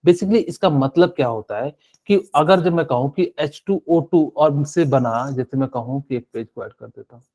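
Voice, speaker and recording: A man's voice: a little high, normal speed, mellow. A male chemistry teacher giving a live online class, explaining step by step with many fillers and asking students questions.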